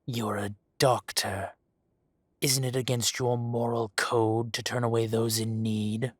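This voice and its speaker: A man voicing a menacing adult male dragon gang boss in an audio roleplay drama. He speaks softly and condescendingly, with deliberate, slow threats and hushed whispers. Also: mid-range voice, little pitch movement, dry recording, clean studio sound. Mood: silky menace. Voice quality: soft-spoken, silky and condescending, drops to whispers.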